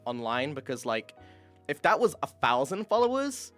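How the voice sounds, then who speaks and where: A man's voice: mid-range voice, normal speed, faint music behind. A young man streamer in casual chat, candid and self-deprecating, swearing freely, with a throat worn from screaming. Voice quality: says his voice is monotone, tries to liven it.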